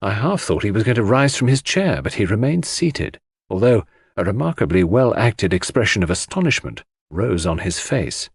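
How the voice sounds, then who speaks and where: A man's voice: lowish voice, very animated, normal speed, vocal fry, hardly any pauses, slightly rough, no echo, clean studio sound. A man reading scripted narration of a classic mystery as an audiobook narrator.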